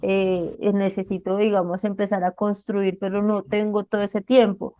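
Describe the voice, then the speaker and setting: A woman's voice: mid-range voice, little pitch movement, normal speed, warm tone, lo-fi sound. A woman hosting a podcast, talking conversationally about her own saving habits and asking her guest questions. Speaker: podcast host. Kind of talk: casual podcast interview.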